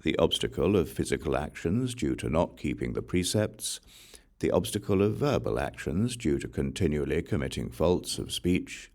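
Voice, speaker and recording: A man reading Buddhist scripture as an audiobook narrator, in a measured, solemn recitation. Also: rumbling low, very animated, a little mellow. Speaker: audiobook reader.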